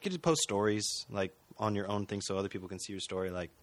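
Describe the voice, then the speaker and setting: A man's voice: lowish voice, lively intonation, quick pace, no echo. A man co-hosting a podcast in casual chat, explaining things at length. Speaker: podcast co-host.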